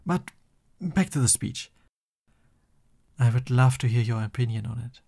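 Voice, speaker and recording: A flustered, doting supervillain boyfriend, played by a man for an ASMR roleplay. He speaks slowly and tenderly in a soft, breathy voice with a dark, mellow tone. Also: mid-range voice, expressive. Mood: playful, affectionate. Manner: slow, tender, bashful.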